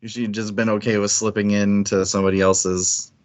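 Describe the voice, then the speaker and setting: A man's voice: on the low side, little pitch movement, a little fast. A man chatting informally about books as a livestream co-host, rambling and thinking aloud as he goes. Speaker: co-host of a book club livestream. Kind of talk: casual book discussion chat.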